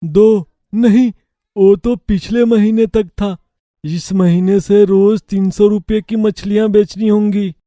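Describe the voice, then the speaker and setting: A man's voice: high-pitched, steady tone, takes it slowly, dark tone, no echo, clean studio sound. A man voicing a gullible, timid ghost servant in a cartoon, with a whining, pleading, simple-minded manner. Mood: pleading, frightened. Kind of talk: Hindi cartoon story acting.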